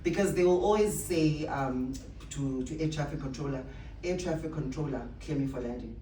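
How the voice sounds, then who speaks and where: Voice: mid-range voice, normal speed, mellow. Distant echoey recording, faint noise, motivational speaker, emphatic, rhetorical, repetitive phrasing. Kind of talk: motivational speech to staff.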